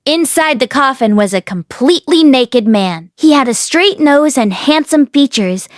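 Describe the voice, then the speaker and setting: A woman's voice: high-pitched, expressive, moderate pace, dry recording, clean studio sound. A woman reading a story aloud expressively, as an audiobook narrator. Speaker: audiobook reader.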